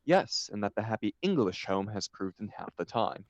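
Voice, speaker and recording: A man voicing a flippant, witty young gentleman dandy, airy and theatrical, in a stage comedy table read. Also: on the low side, big pitch swings, normal speed, dry recording. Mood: breezy, witty.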